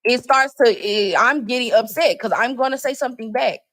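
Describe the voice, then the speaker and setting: A woman's voice: fairly high pitch, fairly quick, fairly bright tone, dry recording. Defiant woman panelist, dry sound. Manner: defiant, insistent, repeats phrases. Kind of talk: heated live-stream debate.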